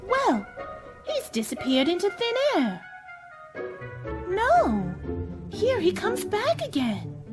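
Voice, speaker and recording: A woman's voice: very high-pitched, wildly swooping pitch, slow, long pauses, over music, full character voice. A woman playing a polite, earnest, curious young girl in a radio play. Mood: musing.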